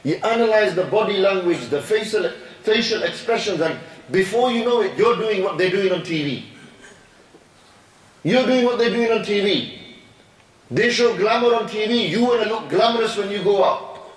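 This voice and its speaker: A man's voice: high-pitched, lively intonation, moderate pace, recorded from a distance. A man, an imam giving a sermon, preaching with repetition and rhetorical questions. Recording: echoey room from afar.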